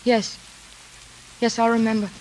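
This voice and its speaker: An actress playing a frightened, dutiful young daughter who narrates a 1940s radio thriller in a tense, earnest, measured way. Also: female voice, fairly high pitch, level tone, natural voice, low-quality recording. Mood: anxious restraint. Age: young adult.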